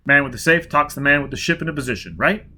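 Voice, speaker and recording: A blunt, driven spaceman with a bright, forceful edge, as a male reader plays him. His talk is curt and rises into shouts. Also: a little high, lively intonation, fast talker, husky, full character voice.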